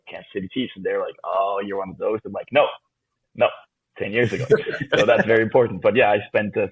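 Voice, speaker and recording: Young man, Swiss accent with American touches, gravelly husky voice, casual and laughing, tinny phone-like audio. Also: lowish voice. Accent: Swiss with some American. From Switzerland. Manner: laughs often, restarts phrases. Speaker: guest drummer. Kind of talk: casual interview answers. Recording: tinny phone-like remote line.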